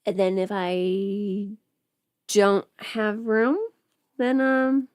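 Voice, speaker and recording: A woman with a mid-pitched voice talks casually to her livestream. She rambles and thinks aloud in an informal way, and the sound is close and very dry, with hardly any room to it. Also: flat delivery, extremely slow, smooth, dry recording. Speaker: livestream host, audio creator.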